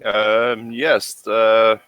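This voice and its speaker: Man playing a low-voiced military private. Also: little pitch movement, clean tone, no room sound, dull audio. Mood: matter-of-fact. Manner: halting, plain-spoken. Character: gruff military private escorting visitors around a base.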